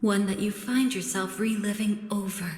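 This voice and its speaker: A woman's voice: medium pitch, moderate pace, darkish tone, lightly acted. A woman voicing an enigmatic, elegant young woman in a video game, coolly playful and teasing, musing in a languid, coy, theatrical way. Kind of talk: scripted video game voice acting. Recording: roomy long reverb with music behind.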